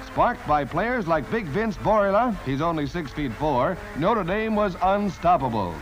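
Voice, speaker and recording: A man's voice: on the high side, very animated, unhurried, music in the background, low-quality recording. An American man narrating a 1940s newsreel in a punchy, theatrical announcer style. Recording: vintage 1940s newsreel soundtrack.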